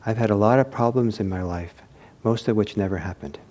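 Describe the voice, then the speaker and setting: A man giving an informal meditation talk, reflective and hesitant as he searches for words, with a soft, husky voice. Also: on the low side, fairly quick. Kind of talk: informal spoken teaching with anecdotes.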